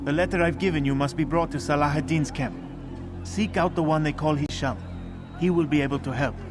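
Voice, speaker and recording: Actor as secretive higher-voiced conspirator. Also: male voice, a little fast, music in the background, lightly acted. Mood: secretive, commanding. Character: secretive man giving orders to a messenger. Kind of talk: video game cutscene acting.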